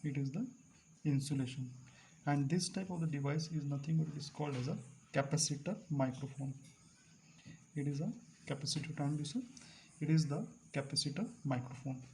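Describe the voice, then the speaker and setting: A male teacher explaining a device to students, repeating key terms slowly and clearing his throat now and then. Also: a little high, mellow, slight background noise, poor audio. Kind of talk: classroom lecture at a board.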